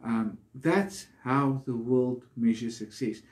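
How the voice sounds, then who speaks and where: A man preaching a devotional sermon in an earnest, deliberate manner, pausing between short phrases. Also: medium pitch, a little mellow. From South Africa.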